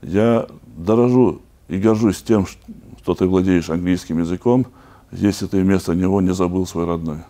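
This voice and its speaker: A man from Chechnya talks as a podcast guest in a very low, dark, mellow voice. He speaks in a measured, earnest way and shapes his points into full, reflective sentences. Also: steady tone. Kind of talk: conversational podcast discussion.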